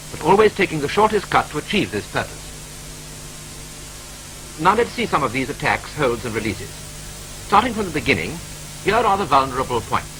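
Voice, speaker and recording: A British man narrates a wartime training film in a clipped, wry newsreel manner. His voice is bright and forceful and swings up and down. A faint hiss of an old film soundtrack runs beneath him. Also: on the high side, low-quality recording. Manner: brisk, clipped, wryly matter-of-fact.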